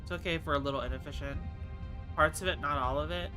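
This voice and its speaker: A man's voice: on the high side, fast talker, over music. A man with a stuffy, congested nasal voice, rambling casually as he plays a game on stream and answers chat. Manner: chatty, rambling, thinks aloud and answers chat. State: just woke up. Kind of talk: casual live game commentary.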